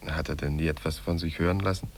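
An actor playing a teasing, gallant travelling painter in a 1950s German radio drama, playful, coaxing and self-assured. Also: male voice, rumbling low, steady tone, fast talker, darkish tone, a little hiss or hum. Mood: curious, reassuring. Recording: 1950s radio play from cassette tape.

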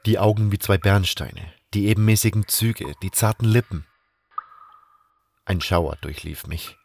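A man's voice: on the low side, a little slow, vocal fry, a little mellow. A man playing an earnest, brooding adventurer who tells his own story in a fan audio drama. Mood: wistful. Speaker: narrator and lead actor in a fan audio drama. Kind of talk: first-person dramatic narration and dialogue.

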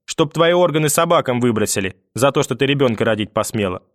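A man's voice: mid-range voice, expressive, quick pace, no echo, studio quality, lightly acted. A man voicing a spiteful, domineering old grandmother in an audiobook, scolding and cursing with sarcasm. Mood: furious. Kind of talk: audiobook character acting.

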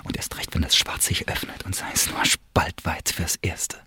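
An actor playing a sensual male admirer in a radio drama monologue, breathy and gravelly, lingering over fragmentary phrases. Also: deep voice, fairly even intonation, normal speed, pushed and bright, in a whisper. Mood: hushed, sensual. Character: sensual male admirer musing on a naked body. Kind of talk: intimate radio drama monologue, literary German.

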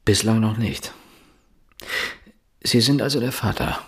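An actor playing a pensive, probing police inspector in a radio drama, with a husky voice. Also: male voice, on the low side, a little slow.

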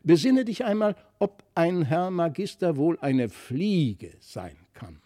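A man voicing a stern, middle-aged noble father in a storybook reading, measured and scolding. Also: a little high, sing-song, dark tone, exaggerated voice. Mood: coaxing. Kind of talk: audiobook character voice.

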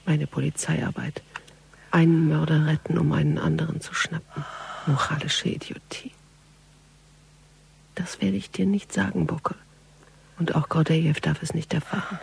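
An actress playing a wry, determined police investigator in a radio crime drama, with a hushed, pensive delivery. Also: female voice, low-pitched, exaggerated voice. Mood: brooding. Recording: clear background noise.

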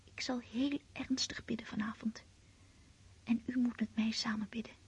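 Breathy lovestruck teenage girl. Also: a little high, flat delivery, normal speed, darkish tone. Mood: dreamy, happy. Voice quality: breathy, whispery. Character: romantic, anxious, devout girl of seventeen in love. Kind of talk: radio play acting.

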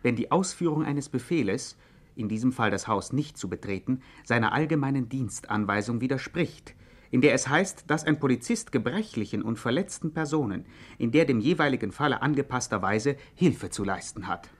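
Actor as mid-pitched, pompous radio commentator. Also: male voice, animated, normal speed, lightly acted. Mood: pompous, ironic. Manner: didactic, officialese. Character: pompous mock-learned co-narrator, ironically commenting. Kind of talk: scripted radio play narration.

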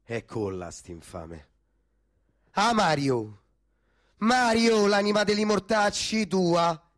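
An actor playing a cocky, foul-mouthed young street tough with a Roman accent, in a radio drama with music behind. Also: male voice, sits high, sing-song, slow pace, exaggerated voice. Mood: brash, irritated. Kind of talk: stage-style radio drama dialect acting.

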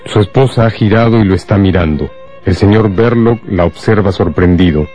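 A man narrating a scripted radio drama in a measured, dramatic storytelling manner. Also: low-pitched, warm tone, faint music behind.

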